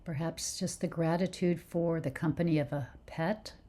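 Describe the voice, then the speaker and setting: Woman, meditation teacher, very low pitch, soft dark tone, soothing, gentle guidance. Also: steady tone. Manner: soothing, gentle, unhurried phrasing. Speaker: meditation teacher leading a guided practice. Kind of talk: guided meditation instruction.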